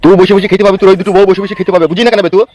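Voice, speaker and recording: A man's voice: sits high, flat delivery, racing, a little mellow, lightly acted. A man playing a lecherous, bullying village politician in a Bengali cartoon, brusque and domineering, with a rural West Bengal accent. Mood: bullying, impatient. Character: lecherous, bullying male village politician, foul-mouthed and scheming. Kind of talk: crude comic cartoon dialogue. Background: background music.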